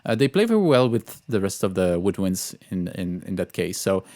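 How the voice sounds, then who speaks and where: A man giving an informal music tutorial as a composer, thinking aloud in a halting way. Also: sits low, sing-song, darkish tone. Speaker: composer teaching orchestration.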